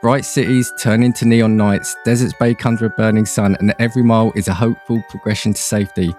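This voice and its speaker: A man playing an ominous, portentous movie trailer narrator reading a scripted pitch. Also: fairly even intonation, fast, a little mellow, faint music behind, lightly acted. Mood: brooding, ominous.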